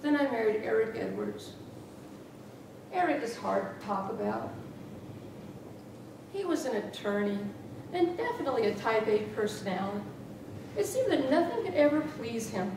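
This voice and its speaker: A middle-aged woman giving a humorous tall-tale speech with theatrical, deliberate delivery and dramatic emphasis. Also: sing-song. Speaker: contest speaker. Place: small meeting room with rows of chairs. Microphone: far camera. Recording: echoey room from far off, noisy rumble.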